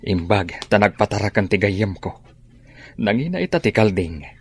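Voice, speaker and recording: A man playing a hopeful, affectionate middle-aged farmer father in an Ilocano radio drama, with a husky voice and an Ilocano Filipino accent. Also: on the low side, very animated, normal speed, slight background noise.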